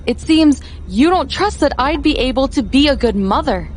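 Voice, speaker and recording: Casting: a high-voiced young wife, insistent and challenging, reproachful, for audio drama. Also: female voice, expressive, normal speed, darkish tone, slight background noise. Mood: insistent, hurt. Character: determined, accusing young wife who challenges her husband.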